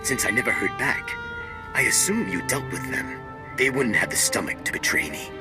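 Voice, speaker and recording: A man's voice: mid-range voice, very animated, normal speed, loud music behind the voice, natural voice. A man playing a contemptuous, menacing pirate crime boss in a video game, threatening and sneering as he talks.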